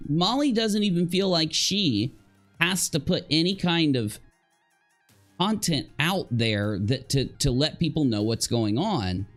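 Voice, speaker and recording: A man giving casual live reaction commentary, halting as he thinks aloud and posing rhetorical questions to his viewers. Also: on the high side. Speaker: livestream commentator.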